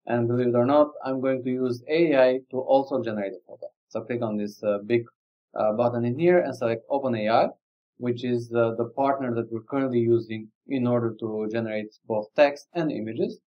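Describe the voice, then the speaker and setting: A man whose native language is Albanian gives a relaxed screen-recorded software demo, thinking aloud with frequent fillers. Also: mid-range voice, brisk, dull audio. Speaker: software company presenter. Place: indoor room, webcam corner.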